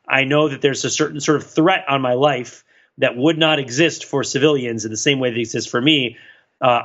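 A man's voice: mid-range voice, a bit bright, lo-fi sound. A man co-hosting a podcast in casual conversation, talking in a wry, discursive way. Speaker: podcast co-host.

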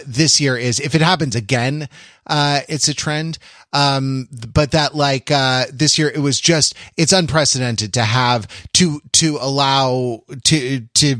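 Guy cohosting a podcast, medium pitch, rambly and animated, very dry recording. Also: no room sound. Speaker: podcast co-host. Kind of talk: casual panel discussion.

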